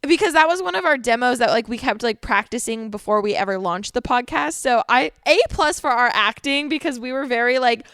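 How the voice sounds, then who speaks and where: A woman's voice: a little high, animated, a little fast, hardly any pauses, a bit bright, clear, resonant tone, dry recording, clean studio sound. A young woman co-hosting a podcast, chatting casually and laughing often while lying on a couch at home. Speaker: podcast co-host. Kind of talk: casual chat.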